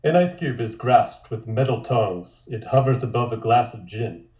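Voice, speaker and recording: A man's voice: mid-range voice, animated, moderate pace, warm tone. A man narrating scripted stage directions for a table read, with dry, wry asides. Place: indoor room, multistream call. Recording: roomy, echoing home recording.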